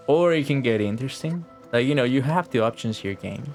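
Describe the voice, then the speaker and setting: A man's voice: mid-range voice, animated, brisk, a little mellow, faint music behind. A young man giving casual, slangy live commentary while streaming a video game, muttering asides to the game.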